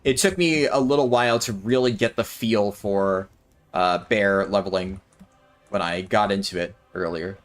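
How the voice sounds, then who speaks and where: A man's voice: lowish voice, moderate pace. Casual man chatting on a game stream. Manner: chatty, thinks aloud, answers chat. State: maybe tired or sore throat. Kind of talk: casual livestream commentary while gaming.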